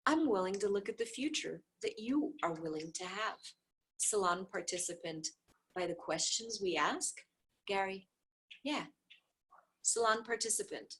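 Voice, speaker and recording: A woman reading a dialogue book aloud, speaker labels included, with repeated phrases in an even, steady cadence. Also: mid-range voice, a little hiss or hum. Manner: occasional soft offhand asides.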